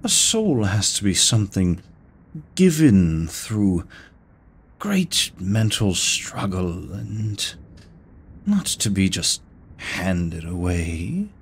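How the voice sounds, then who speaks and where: Man voicing a deep, teasing incubus demon. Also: very animated, breathy edge, full character voice. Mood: playfully exasperated. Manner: slow, silky, theatrical, courteous with drawn-out words. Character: seductive, teasing male incubus demon, immortal deal-maker. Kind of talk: scripted roleplay audio drama, addressing the listener.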